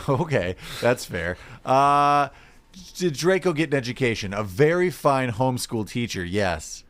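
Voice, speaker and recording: A man's voice: medium pitch, very animated, measured pace, a bit bright. A man co-hosting a podcast, chatting casually and jokingly in a rambling, conversational way. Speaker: podcast co-host. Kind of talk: casual comic banter.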